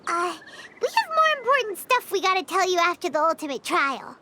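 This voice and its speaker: A woman voicing a small, childlike fairy companion in a video game, squeaky, chirpy and earnest. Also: big pitch swings, fairly quick, very noisy. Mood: encouraging. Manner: earnest, refers to self in third person.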